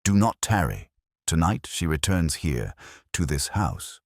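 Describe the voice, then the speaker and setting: A man acts out a brusque, imperious detective genius from an audiobook mystery. He speaks in terse, formal pronouncements with a pompous edge, in a very deep register that swings widely in pitch. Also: very animated, measured pace, a little mellow, dry recording, studio quality, exaggerated voice. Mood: commanding.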